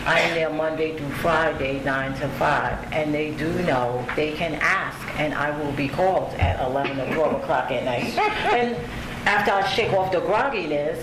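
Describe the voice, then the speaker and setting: A man speaking as an on-site healthcare provider in a panel discussion, with a booming voice, polite and plainspoken. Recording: echoey room with background noise.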